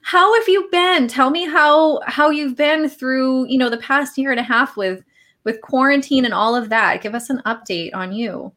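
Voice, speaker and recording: A woman's voice: high voice, moderate pace, fairly bright tone. A woman hosting a casual interview, asking friendly, encouraging questions. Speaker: interview host.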